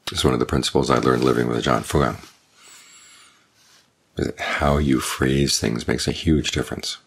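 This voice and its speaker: An older man, a Buddhist monk, giving a calm, measured evening Dhamma talk with unhurried pauses. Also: rumbling low, vocal fry, breathy edge. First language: English.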